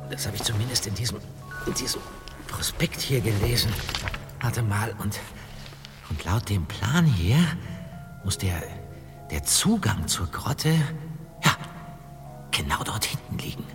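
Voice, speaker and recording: Low-voiced bookish young sleuth in reverberant hall. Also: male voice, animated. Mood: studious, halting. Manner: halting, thinking aloud, agreeable. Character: hesitant, bookish teenage boy detective sidekick. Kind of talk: German audio drama acting. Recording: long hall reverb, music behind.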